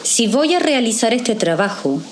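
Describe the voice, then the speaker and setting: A woman's voice: on the high side, very animated, a little hiss or hum. A woman playing a guarded, direct, caring professional in an audio drama. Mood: firm, sceptical. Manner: direct, measured.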